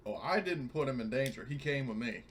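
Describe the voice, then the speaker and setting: A man's voice: medium pitch, expressive, a little fast, slightly rough. A man playing a cocky, disgraced former starship captain, brash and casual. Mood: indignant.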